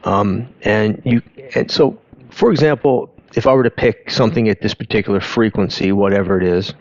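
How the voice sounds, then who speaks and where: A man giving an informal explainer in a halting, conversational way, often interrupting himself to rephrase. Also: lowish voice, darkish tone, no room sound, dull audio. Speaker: host of an audio education channel. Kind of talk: informal explainer talk over on-screen graphs.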